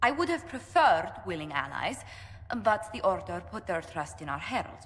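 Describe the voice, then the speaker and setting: An actress playing a reasonable, tactful advisor in a fantasy game, formal in speech. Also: female voice, lowish voice, sing-song, moderate pace, a bit bright. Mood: conciliatory. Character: diplomatic, reasonable female advisor. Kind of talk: dramatic fantasy game acting. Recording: long hall reverb, faint music.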